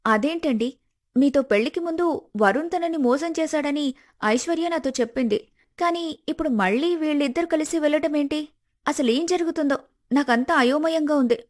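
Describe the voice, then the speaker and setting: A woman voicing a polite, grateful young woman in an animated moral story, respectful and earnest. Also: high voice, normal speed, lightly acted. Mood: shocked, confused.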